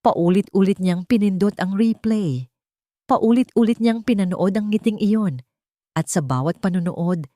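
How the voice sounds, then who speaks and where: A woman's voice: fairly low pitch, dark tone, no echo, studio quality. A woman narrating a scripted dramatic story in an emotive way, with short, weighted phrases.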